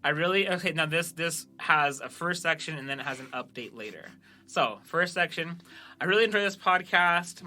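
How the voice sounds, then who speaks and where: A man's voice: fairly high pitch, bright, forceful tone, a little hiss or hum. A man hosting a podcast in casual banter, chatting and reading out listener reviews. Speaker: podcast host and creator of an amateur audio drama.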